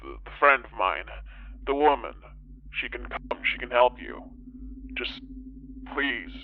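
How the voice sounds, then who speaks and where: Through a thin, tinny phone line comes a worried, courteous caller. He is earnest and hesitant, repeating himself as he tries to help, in a mid-pitched voice from a horror radio play. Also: expressive, unhurried, background noise. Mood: anxious, reassuring. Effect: telephone filter. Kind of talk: horror audio drama acting.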